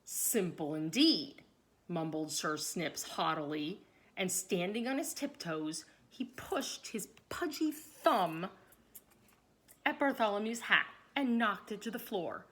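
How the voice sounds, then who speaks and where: A woman reading a children's story aloud, expressively and deliberately, pausing to address young listeners. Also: mid-range voice, lively intonation, a bit bright. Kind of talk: read-aloud narration with questions to young listeners.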